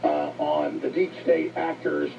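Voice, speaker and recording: A very gravelly male interviewer with a lively, swooping delivery, pushing his points insistently and repeating himself. He sounds distant on a dull, noisy radio playback. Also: fairly high pitch, a little slow, over music. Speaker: talk show host interviewing a guest. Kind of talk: forceful broadcast interview.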